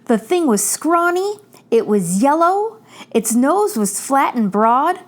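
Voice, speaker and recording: A woman reading a children's picture book aloud in an animated, deliberate storytelling manner. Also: sits high.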